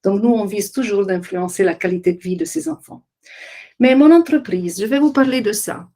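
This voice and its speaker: Middle-aged lady w/ presenting her work, medium pitch, kinda hesitant, hunting for words. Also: moderate pace, warm tone. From Italian-speaking Switzerland. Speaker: occupational therapist, trainer and business founder presenting. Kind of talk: informal web conference presentation.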